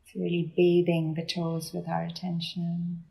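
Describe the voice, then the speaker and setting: A woman guides a meditation very slowly and gently. Her voice sits a little low, with a soft, mellow tone. She leaves deliberate pauses between short, unhurried phrases. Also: completely flat delivery, gravelly, low-quality recording. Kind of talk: guided body scan meditation.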